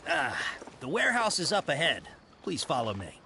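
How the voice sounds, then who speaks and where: A man's voice: fairly high pitch, wildly swooping pitch, normal speed, noisy background. A man voicing an overly courteous, apologetic male shop informant in a game cutscene. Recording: game soundtrack music behind.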